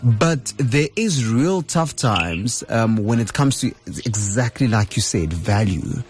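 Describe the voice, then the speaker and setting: A man's voice: mid-range voice, animated, takes it slowly. A South African man hosting a radio interview, upbeat and enthusiastic with casual slang. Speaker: radio host. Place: radio studio.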